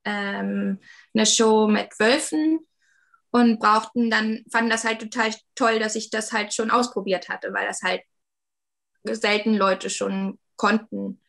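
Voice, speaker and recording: A woman's voice: medium pitch, steady tone, slow pace, vocal fry, a bit bright. A woman in her thirties, a 3D artist talking casually in an interview, with lots of fillers and hedges. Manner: chatty, many fillers and hedges.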